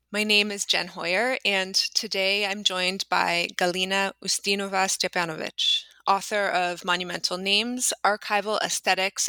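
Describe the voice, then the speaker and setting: A woman podcast host who introduces her guest with a measured, formal reading, then asks interview questions. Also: mid-range voice, pushed and bright, dry recording.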